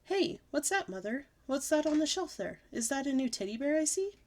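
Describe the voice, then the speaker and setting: A woman voicing a bossy, excitable little girl in an audiobook, with loud, wheedling kid chatter. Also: high-pitched, brisk, voice pushed up. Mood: pleading. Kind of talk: audiobook character voice.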